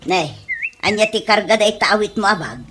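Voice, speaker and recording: A woman's voice: medium pitch, animated, a little fast, fairly bright tone, slight background noise, lightly acted. A woman playing a nagging, poor Ilocano housewife in a comedy radio drama, with an Ilocano accent and scolding, exclamatory delivery.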